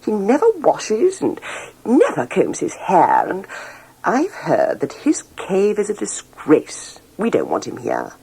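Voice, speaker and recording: A woman narrator acts a haughty townswoman from a children's storybook. She complains in drawn-out, disgusted RP phrases, with a husky edge and extravagantly swooping intonation. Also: mid-range voice, wildly swooping pitch, dark tone, pitched above the performer's own voice, exaggerated voice, rough recording.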